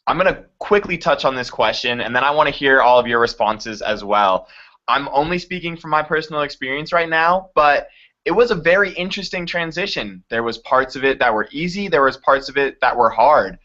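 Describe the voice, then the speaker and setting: A man's voice: medium pitch, fairly quick, bright, forceful tone, muffled recording. Upbeat man moderating a live chat. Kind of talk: hosting a live web chat.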